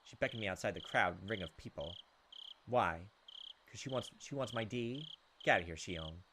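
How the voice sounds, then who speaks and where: A man's voice: lowish voice, animated, moderate pace, noisy background. A man reading a visual novel aloud as a let's play host, with casual, crude joking asides.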